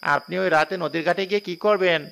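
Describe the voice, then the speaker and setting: A superstitious rustic cart driver from a cartoon ghost story, played by a man, with a high, gravelly creak. He speaks respectfully and cautiously, over a faint bed of insect noise. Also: fast talker, a bit bright. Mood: deferential, cautioning.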